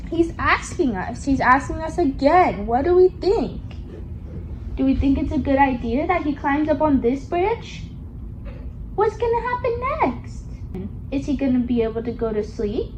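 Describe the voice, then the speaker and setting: A woman's voice: high voice, animated, a little slow, dull audio, slight background noise. A woman reading a picture book aloud to a young child, coaxing along with questions in a sing-song, child-directed way. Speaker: storybook reader for a young child.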